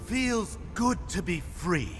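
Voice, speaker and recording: Casting: freed captive ally in fantasy game, self-assured, unhurried, swooping pitch, long reverb. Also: male voice, expressive, music in the background. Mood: pleased. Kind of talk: video game cutscene acting.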